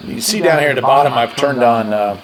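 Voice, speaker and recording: A man gives a casual, hands-on radio demo in a medium-pitched voice. Clear static and hiss sit behind him as he talks. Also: animated, brisk. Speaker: radio reviewer. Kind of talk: casual hands-on product demonstration. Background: shortwave radio noise.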